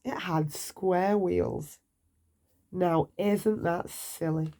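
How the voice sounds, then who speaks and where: A woman storyteller reads to young children at bedtime. Her voice is slightly low and very mellow, and she takes her time with expressive, deliberate phrasing. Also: creaky. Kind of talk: read-aloud children's bedtime story narration.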